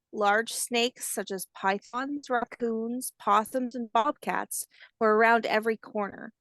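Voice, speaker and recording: A woman voicing a kindly, patient old grandmother alligator, telling facts in a gentle storybook manner. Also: a little high, no room sound, clean studio sound. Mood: patient, informative. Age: older.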